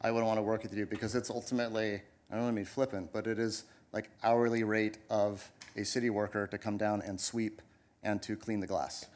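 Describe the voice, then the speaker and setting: A man's voice: lowish voice, little pitch movement, brisk, a little mellow. A man presenting a project to a city commission, hesitant with many restarts, then emphatic. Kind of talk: public meeting discussion. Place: council chamber.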